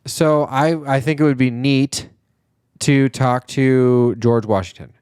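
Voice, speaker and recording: A male podcast co-host talks in a loose, rambling way, full of fillers and casual swearing. His voice is smooth and clear. The sound is dry and close, with no room in it. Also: medium pitch, steady tone, slow pace, darkish tone, no room sound. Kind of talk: casual chat.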